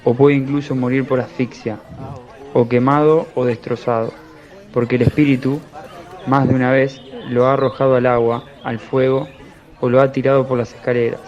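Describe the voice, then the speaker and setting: A man's voice: medium pitch, level tone, normal speed, a little mellow, close to an everyday voice. An actor playing an anguished father pleading earnestly for his sick son in a religious radio drama. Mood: pleading, distressed. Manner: imploring, earnest. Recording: dull lo-fi, crowd murmur behind.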